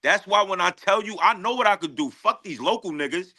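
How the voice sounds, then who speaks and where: A man with a New York accent chatting excitedly as a guest rapper, slangy, boastful and emphatic. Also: high voice, a little fast. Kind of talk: excited casual interview chat. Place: radio show studio.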